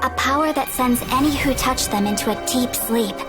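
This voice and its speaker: Woman playing a composed, high-voiced guild receptionist. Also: over music, lightly acted. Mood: earnest, formal. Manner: formal, measured, instructive. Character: composed, professional female adventurers' guild receptionist. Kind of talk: video game voice acting.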